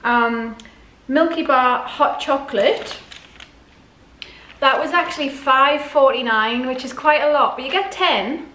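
A woman's voice: sits high, measured pace, sharp tone. A woman in her forties chatting casually to camera as a vlogger, often questioning herself aloud. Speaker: vlogger showing a grocery haul. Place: indoors in a home dining room. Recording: echoey room, clear background noise.

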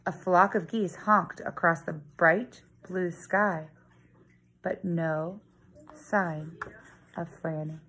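A woman in her thirties, a teacher, reading a children's story aloud in a dramatic, expressive storytelling manner with deliberate emphasis. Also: fairly low pitch, slight background noise, rough recording. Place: living room at home. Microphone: phone or tablet at arm's length.

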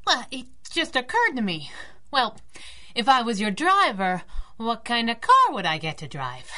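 A woman's voice: on the high side, huge pitch swings, measured pace, sharp tone, exaggerated voice. An actress playing a brash, feisty young working-class woman driver in a 1930s radio drama, slangy and wisecracking. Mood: awkward, teasing.

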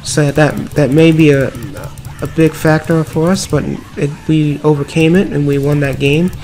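A man with a slightly raspy voice from a cold, giving upbeat, rambling commentary over mobile card game play. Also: a little high, level tone, normal speed, a little mellow. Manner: upbeat, filler-heavy running commentary. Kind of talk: casual gameplay commentary. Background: background music.

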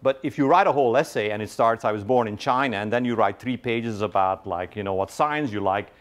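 A middle-aged man giving a university lecture in a large hall, lively and conversational, often checking in with "right?" and quizzing his audience. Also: on the low side, fairly quick. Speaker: guest lecturer.

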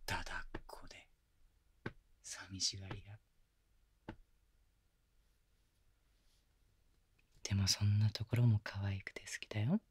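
A man playing a gentle, doting young boyfriend in an ASMR bedtime audio drama, speaking softly and breathily, soothing and coaxing, close to the mic. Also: on the low side, steady tone, moderate pace, breathy edge, dry recording. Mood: fond whisper. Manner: soft, soothing, tender, coaxing, often dropping to a whisper. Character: gentle doting young boyfriend lulling his sleepy partner. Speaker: voice actor. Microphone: close binaural microphone.

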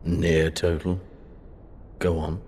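An actor playing a cold, arrogant young imperial prince in a video game cutscene, speaking in a languid, mocking and menacing way. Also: male voice, low voice, flat delivery, slow, darkish tone, slightly rough, exaggerated voice. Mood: languid, contemptuous.

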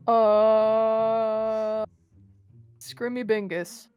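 A woman's voice: fairly high pitch, level tone, very slow, a little mellow, clean tone, faint music behind. A woman chatting casually as a player in a group game. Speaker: game participant. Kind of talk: casual chat.

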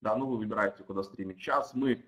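A man's voice: on the low side, fast, a bit bright. A man who is a non-native speaker of Russian, a startup team lead, explaining his product casually over a video call with many fillers and restarts. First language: not Russian, though Russian-speaking.

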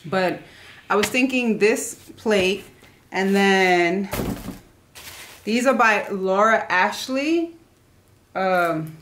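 Young female vlogger for a home haul video: medium pitch, informal, loosely rambling chat, background noise. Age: young adult. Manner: informal, loosely rambling, trailing off mid-thought. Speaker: vlogger showing a shopping haul. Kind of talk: casual chat to camera. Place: living room at home, indoors.

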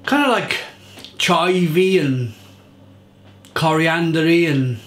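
A man's voice: on the high side, takes it slowly, fairly bright tone, roomy sound. A man in his thirties chatting to camera at home in his kitchen, reviewing a beer with enthusiasm. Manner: chatty, enthusiastic, restarts and fillers. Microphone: fixed camera at table.

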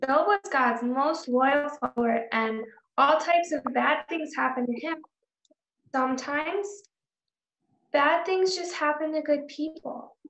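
Woman playing a solemn, high-voiced pious girl. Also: a bit bright, exaggerated voice. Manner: earnest, measured, reciting. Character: solemn, pious young daughter quoting scripture. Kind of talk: radio drama read-through. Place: home room, remote video call. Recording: dull, roomy video call.

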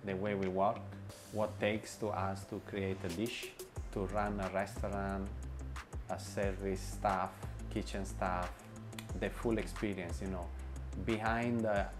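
A man with a lowish voice answers interview questions slowly and haltingly. He restarts often and fills his pauses with "uh". Clear background music sits under his voice in a bright indoor room. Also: flat delivery. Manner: hesitant, many fillers and restarts, non-native grammar. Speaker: restaurant owner and chef.

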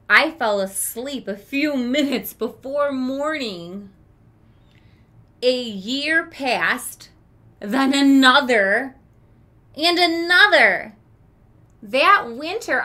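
A woman in her thirties reading a picture book aloud to children in a deliberate, emphatic storytelling manner, pausing to explain things as a teacher would. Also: on the high side, bright, forceful tone. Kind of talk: expressive children's read-aloud with explanatory asides. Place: small room with children's book shelves.

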